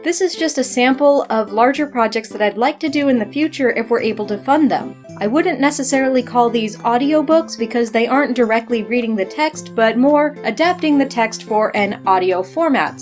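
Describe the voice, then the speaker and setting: A woman's voice: a little high, normal speed, few pauses, soft background music. A woman working as a narrator, reading scripted material for an audio story production.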